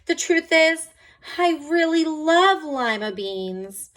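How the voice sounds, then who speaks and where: A woman's voice: sits high, animated, takes it slowly, fairly bright tone, pitched above the performer's own voice, heavily acted. A woman voicing an emotional young schoolgirl in a storybook, exclaiming her lines emphatically. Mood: confessing, urgent.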